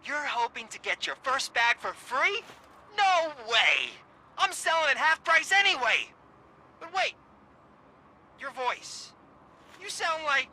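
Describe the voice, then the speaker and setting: Game role: irritable cut-rate dealer, very high animated delivery, brash and edgy, faint vehicle noise behind. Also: male voice, takes it slowly, pauses often, heavily acted, low-quality recording. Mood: impatient, brash. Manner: agitated, brash, profane. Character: jumpy, irritable male cut-price street drug dealer.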